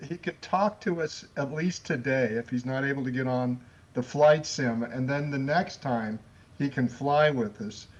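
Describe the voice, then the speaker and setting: A man's voice: mid-range voice, a little slow. Man in his sixties, guest pilot, talkative, dull muffled sound. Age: 60s. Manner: chatty, rambling. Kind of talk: casual group chat. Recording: muffled voice chat line.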